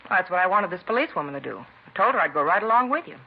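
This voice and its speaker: An actress playing a nosy, pushy middle-aged neighbor in a 1950s radio crime drama, talking in a brassy busybody way. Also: female voice, fairly low pitch, sing-song, very fast. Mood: insistent. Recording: lo-fi vintage radio broadcast with faint noise.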